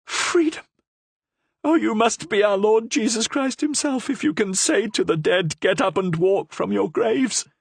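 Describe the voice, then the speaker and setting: A man voicing a half-mad, starving woman prisoner who cries out in desperate, shrill pleas. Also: expressive, unhurried, slightly rough, no echo, exaggerated voice. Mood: frantic pleading.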